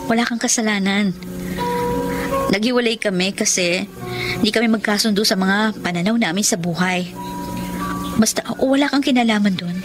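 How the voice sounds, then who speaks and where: Loud music runs under an actress playing a young Filipino woman in a radio romance drama. She is tender and earnest, a self-sacrificing type secretly in love, speaking at a middling pitch. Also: moderate pace, pauses often, natural voice. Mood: gentle.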